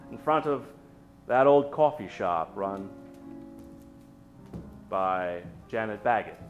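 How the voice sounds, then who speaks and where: A man's voice: on the low side, lively intonation, takes it slowly, narrow, tinny audio. A man narrating an old-time radio comedy as a storyteller. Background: music.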